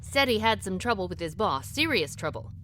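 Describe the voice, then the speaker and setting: An actress playing a proud, secretive businesswoman who speaks briskly and tartly in a radio play. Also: medium pitch, very animated, sharp tone, a little hiss or hum. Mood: assertive. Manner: brisk, tart and defensive. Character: sharp, proud woman business owner, secretive. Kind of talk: radio drama acting.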